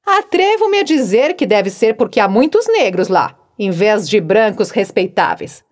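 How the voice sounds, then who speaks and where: A woman's voice: medium pitch, big pitch swings, unhurried. A woman narrator voicing a plainspoken, good-humored young housemaid who talks frankly and cheerfully. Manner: frank, cheerful, matter-of-fact.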